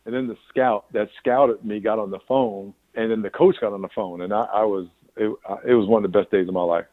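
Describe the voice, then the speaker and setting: A man's voice: fairly low pitch, fairly even intonation, fairly quick, dry recording. A man in his sixties from New York, a pastor answering interview questions candidly, rambling with restarts. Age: 60s. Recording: tinny phone line.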